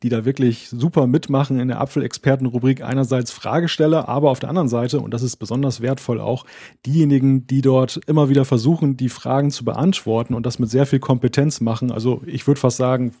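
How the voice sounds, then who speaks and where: A man from northern Germany hosting a tech podcast, calm, measured and understated as he moderates. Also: medium pitch, breathy edge, no echo, studio quality. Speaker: podcast host and webmaster.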